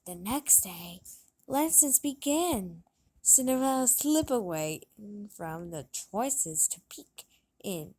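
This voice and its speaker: A woman's voice: mid-range voice, big pitch swings, slow, warm tone. A woman reading a children's story aloud as narrator, stumbling now and then over words. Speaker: storybook reader.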